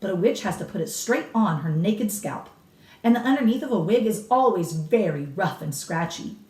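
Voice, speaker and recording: A woman's voice: mid-range voice, animated, normal speed, dark tone, natural voice. A woman voicing a blunt, authoritative elderly grandmother from a storybook, explaining with emphatic relish and a snappish edge. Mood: instructive, relishing. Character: cigar-smoking old grandmother, authoritative, blunt, relishing grisly witch lore. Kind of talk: storybook read-aloud character. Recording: roomy echo, distant microphone.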